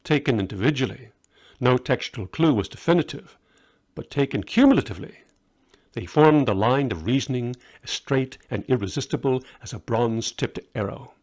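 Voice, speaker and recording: A man narrates a piece of fiction in a scripted audiobook style. His voice sits at a medium pitch, and he reads as the author of the story. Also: expressive, moderate pace, a little mellow. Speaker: author reading own story.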